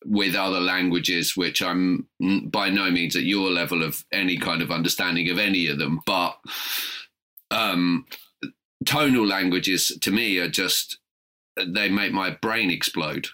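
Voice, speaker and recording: Deep-voiced joking male host. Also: measured pace, pushed and bright, poor audio. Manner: teasing, joking. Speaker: podcast host. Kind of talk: casual podcast chat.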